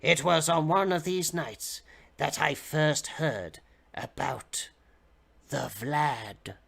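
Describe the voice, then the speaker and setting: A man's voice: fairly high pitch, slow pace, creaky, fairly bright tone. A man reads fiction aloud in a theatrical, mock-serious audiobook style, as if performing for a livestream. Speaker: reader of comic fiction on a livestream. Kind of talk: dramatic audiobook-style reading.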